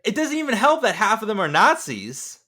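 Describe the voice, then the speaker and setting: A man's voice: high-pitched, wildly swooping pitch, fairly quick, bright, forceful tone. A man in a casual, informal podcast-style film discussion, talking as a co-host.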